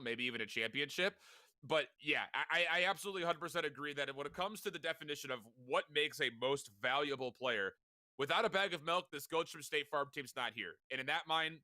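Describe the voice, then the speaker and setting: A man's voice: mid-range voice, bright, forceful tone, no room sound. A man from Chicago co-commentating esports, emphatic and prone to stammered restarts. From Chicago, USA. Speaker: esports co-caster.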